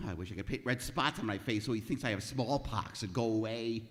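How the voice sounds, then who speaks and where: A man's voice: fairly low pitch, a little fast, noisy background, close to an everyday voice. A man playing a nervous, exasperated department store clerk who stammers and mutters to himself in an old-time radio comedy. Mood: exasperated despair. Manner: stammering, fretful, muttering self-pitying asides. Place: live performance in a museum hall. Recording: reverberant hall, live stage recording.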